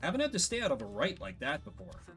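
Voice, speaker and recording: A man's voice: sits high, wildly swooping pitch, quick pace, a bit bright, faint music behind, pitched above the performer's own voice, exaggerated voice. A man voicing an easygoing, kindly young exile in a video game, speaking in a casual, friendly way. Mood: easygoing, grateful.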